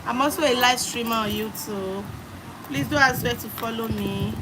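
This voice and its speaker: A woman's voice: a little high, normal speed. A young adult woman with a Nigerian accent, live streaming and chatting with viewers, greeting them by name and repeating her phrases. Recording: loud background noise.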